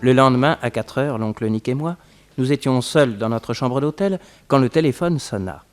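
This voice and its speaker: An actor playing a detective's nephew, narrating a French radio crime serial. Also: male voice, mid-range voice, normal speed, music in the background, natural voice. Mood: storytelling. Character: male detective's nephew narrating his adventures.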